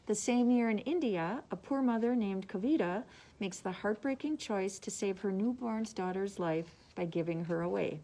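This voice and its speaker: A woman with a mid-range voice presents books as a librarian. She reads from prepared summaries in a measured, deliberate manner. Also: mid-range voice, mellow, poor audio. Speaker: librarian presenting book picks. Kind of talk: scripted book summaries read aloud.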